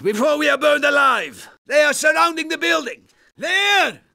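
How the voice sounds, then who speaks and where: An actor playing a vengeful, commanding middle-aged Soviet sniper in a video game, with a Russian accent, a gravelly, husky voice and dramatic, deliberate delivery. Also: male voice, very high voice, pushed and bright, raised voice, exaggerated voice. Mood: desperate, furious. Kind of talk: video game character acting.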